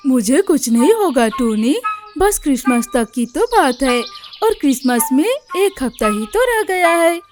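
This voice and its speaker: A woman's voice: high-pitched, big pitch swings, a little slow. A woman voicing a poor, devoted father bird, earnest and reassuring, in a Hindi cartoon story. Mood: earnest, insistent. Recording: music behind the voice.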